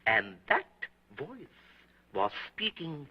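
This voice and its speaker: An actor playing a slow, stubborn restaurant owner, on an old film soundtrack. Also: male voice, on the high side, huge pitch swings, slightly rough, exaggerated voice. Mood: earnest. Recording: muffled 1930s film soundtrack.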